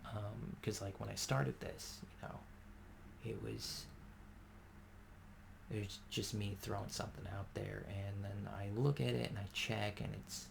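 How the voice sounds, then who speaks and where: A man speaks softly in a gentle ASMR ramble, pausing often and filling gaps with small hesitations. His low voice carries a heavy gravelly fry and stays flat in pitch. A clear hiss sits behind him. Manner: soft-spoken, rambling with many fillers. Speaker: ASMR creator. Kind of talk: soft-spoken ASMR ramble.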